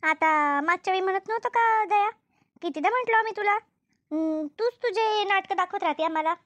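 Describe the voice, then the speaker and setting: Woman voicing a high-pitched, fussy village neighbour. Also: brisk, fairly bright tone, dry recording. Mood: scolding. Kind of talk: amateur cartoon comedy dub.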